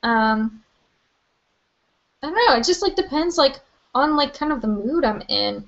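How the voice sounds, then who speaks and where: A young woman hosting a live book Q&A from her webcam, chatty and rambling with lots of "like" and "um", her voice a little hoarse. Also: high voice, lively intonation, a little slow, highs cut off. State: slightly hoarse, losing her voice. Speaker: book vlogger. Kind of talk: live-stream Q&A.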